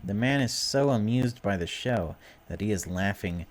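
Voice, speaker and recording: Low-voiced man doing gameplay commentary. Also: animated, normal speed, a little hiss or hum. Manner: dramatic reading broken by casual wry asides. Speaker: gaming video host. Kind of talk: gameplay commentary, reading on-screen story text aloud.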